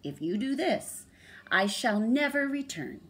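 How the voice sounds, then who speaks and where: A woman's voice: on the high side, big pitch swings, pitched above the performer's own voice. Woman as a commanding young hyena playing ghost. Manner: slow, commanding. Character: young female hyena posing as an authoritative ghostly spirit.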